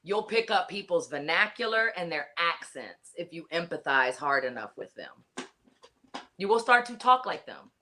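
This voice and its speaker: A woman's voice: lowish voice, sing-song, moderate pace, bright, forceful tone, a little hiss or hum. A woman therapist explaining in an earnest, casual way, with many "you know"s. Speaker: therapist guest.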